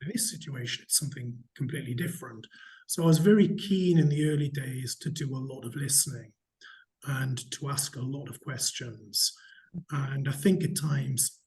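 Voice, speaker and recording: A middle-aged man from Newcastle with a slight Geordie accent, answering interview questions in a measured, candid way with frequent restarts. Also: medium pitch, a little mellow, rough recording. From Newcastle, England. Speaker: hotel company chief executive, interviewee.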